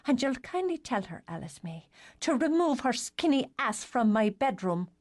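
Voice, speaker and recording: A woman's voice: a little high, sing-song, moderate pace, darkish tone, pitched above the performer's own voice. A woman voicing an angry, sulking younger sister with a stiff, formal way of talking in a storybook reading.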